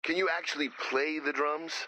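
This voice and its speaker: A man's voice: on the high side, steady tone, a little fast, pushed and bright, no echo, rough recording. A man acting as a dry, skeptical band musician in a video game, wry and matter-of-fact. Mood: casual. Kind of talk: video game character dialogue.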